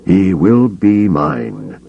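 A man voicing an old, mad Jedi master villain in an audiobook, serenely menacing and condescending, with slow, pompous delivery. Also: low voice, husky, highs cut off, heavily acted. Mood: quietly confident.

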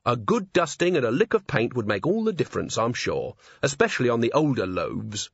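A man's voice: medium pitch, big pitch swings, a little fast, creaky, husky, no echo, exaggerated voice. A man voicing an earnest, cheerfully oblivious young male watchman. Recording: slightly dull lo-fi audio.

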